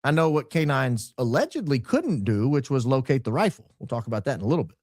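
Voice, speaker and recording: A man hosting a casual commentary on audio clips, questioning, halting and often repeating himself. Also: mid-range voice, big pitch swings, a little mellow, no room sound, studio quality. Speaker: host.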